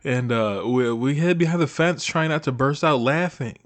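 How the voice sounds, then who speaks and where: A man acting as a shy, nostalgic old high school best friend, talking casually and hesitantly to the listener in an intimate audio roleplay. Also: moderate pace, lightly acted. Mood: fond, amused. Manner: hesitant, casual, soft-hearted, trailing off.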